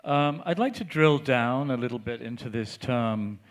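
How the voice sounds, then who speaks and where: A man's voice: mid-range voice, normal speed. Man pressing a panelist with questions. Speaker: moderator. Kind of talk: panel discussion.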